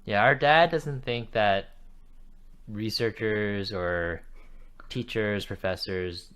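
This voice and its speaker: A man co-hosting a podcast, talking in a measured, clarifying way. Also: fairly low pitch, fairly bright tone, clean tone. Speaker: podcast co-host. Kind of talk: casual chat.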